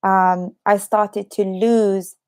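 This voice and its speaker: British woman, young adult, mid-pitched, friendly teacher's patter with a slight cough, lots of repetition and fillers. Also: flat delivery, darkish tone, clear, resonant tone. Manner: chatty, explains slowly with repetition and many fillers. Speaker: English teacher. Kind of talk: live online English lesson.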